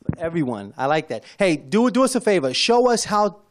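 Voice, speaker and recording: A man's voice: sits high, sing-song, brisk. A man working as a show host, asking questions in a conversational interview.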